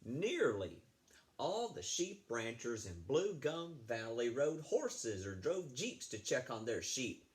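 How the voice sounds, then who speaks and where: Animated man reading a storybook aloud. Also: mid-range voice. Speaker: read-aloud storyteller, apparently addressing schoolchildren. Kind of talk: expressive picture-book read-aloud with casual asides.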